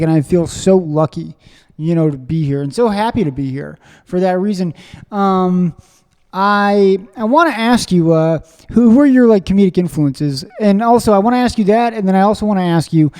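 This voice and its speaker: A man from Springfield, Missouri, hosting a podcast interview, talking in an excitable, rambling way full of fillers and restarts. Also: fairly high pitch, in a large hall. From Springfield, Missouri, USA. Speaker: podcast host. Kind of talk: casual conversational interview.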